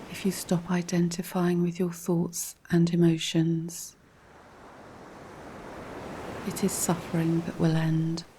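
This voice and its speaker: A woman reading a scripted guided relaxation in a calm, measured, instructional way, with a soft, breathy voice. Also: lowish voice, slight background noise. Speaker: guided meditation narrator.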